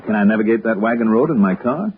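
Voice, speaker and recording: An actor playing an American insurance investigator, game but wary, in a 1960s radio drama. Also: male voice, lowish voice, expressive, fast talker, slight background noise, close to an everyday voice. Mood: curious, polite. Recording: muffled vintage radio recording.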